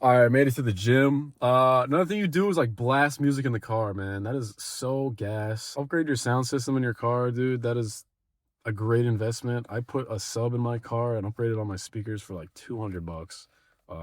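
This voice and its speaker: A sick young man vlogging casual gym advice, sounding a bit raspy and nasal, rambling with lots of fillers and swearing. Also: mid-range voice, no room sound. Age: young adult. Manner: rambling, laid-back, swears often, lots of "like". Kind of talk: casual motivational vlog.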